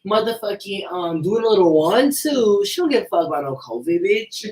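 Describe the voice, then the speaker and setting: A woman's voice: mid-range voice, lively intonation, normal speed, reverberant. A woman co-hosting a gossip podcast with brash, slangy, sweary banter and frequent interjections. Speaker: podcast co-host. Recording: loud chatter behind the voice.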